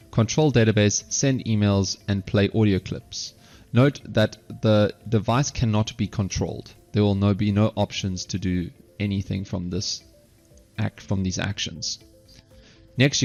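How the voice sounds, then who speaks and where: A man narrating an instructional software screencast step by step, halting at times and correcting himself. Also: on the low side, vocal fry, dull audio. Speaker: software tutorial presenter.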